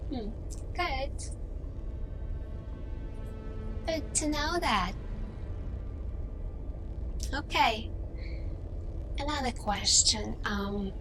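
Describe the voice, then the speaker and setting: A woman playing a gentle, probing humanoid alien interviewer in an ASMR roleplay, speaking softly and deliberately with pauses between short phrases. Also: sits high, expressive, over music, heavily acted, poor audio. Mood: thoughtful, accepting.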